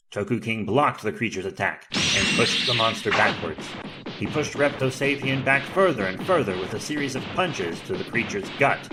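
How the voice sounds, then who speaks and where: A man's voice: on the low side, very noisy. A man narrating an action audio drama in a dramatic, scripted storytelling style.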